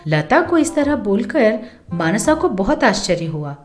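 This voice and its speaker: A woman's voice: medium pitch, big pitch swings, unhurried, a little mellow. A woman narrating a scripted story as a storyteller. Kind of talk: scripted story narration for Hindi moral tales. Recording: music bed under the voice.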